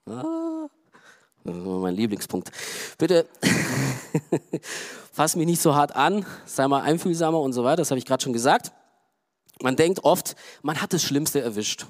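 A middle-aged man from Romania, preaching a sermon in a loose, conversational way, full of fillers and quiet asides. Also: a little high, big pitch swings, measured pace, long pauses. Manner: conversational, frequent "ja" and "ähm" fillers. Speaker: pastor.

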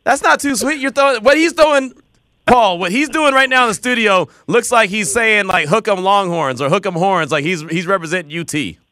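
A man hosting a sports talk radio show, energetic and upbeat, often repeating his phrases. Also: high-pitched, normal speed, no echo, studio quality. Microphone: studio mic.

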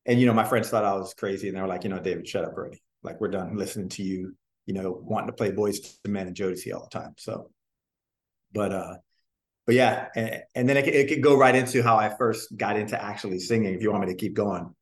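A man from New Jersey in his forties recounts his past in a loose, chatty way. His voice sits low and the room around him rings a little. He strings thoughts together with plenty of fillers. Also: fast. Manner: relaxed, rambling, many "you know" fillers. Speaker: guest, singer and dancer. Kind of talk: casual interview storytelling. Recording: roomy echo.

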